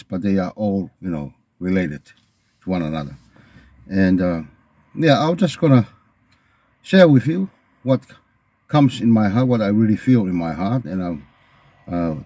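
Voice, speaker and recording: Mellow, low-voiced Tongan-accented man. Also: no room sound. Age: middle-aged. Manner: earnest, halting, repeats phrases. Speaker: video channel host. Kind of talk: reflective unscripted monologue to camera.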